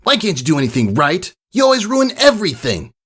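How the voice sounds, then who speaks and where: A man voicing an angry, cruel young bully colt in a storybook, shouting and berating. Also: high-pitched, big pitch swings, a little fast, slightly rough, pitched above the performer's own voice, full character voice. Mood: furious.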